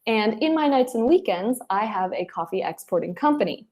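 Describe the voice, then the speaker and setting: Woman guest on an interview, kinda high voice, animated, chatting through advice and stories. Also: a little fast. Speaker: interview guest, head of client acquisition. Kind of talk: conversational interview, advice with personal anecdotes.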